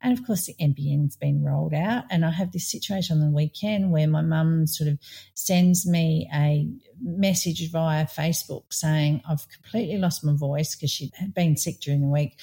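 Australian woman, 40s, podcast co-host; low, mellow voice; chatty storyteller. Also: normal speed, gravelly. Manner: chatty, storytelling, frequent "you know" and restarts. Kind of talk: casual conversational chat.

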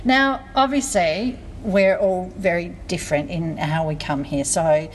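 A woman's voice: lowish voice, a little slow, a little hiss or hum, poor audio. A woman hosting a podcast in a casual, chatty and informal way. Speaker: podcast host. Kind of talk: casual chat.

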